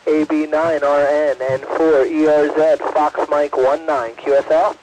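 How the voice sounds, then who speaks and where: A man's voice: a little high, flat delivery, unhurried. A man working as an amateur radio operator, giving clipped call signs over a radio transmission. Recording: very noisy ham radio satellite transmission.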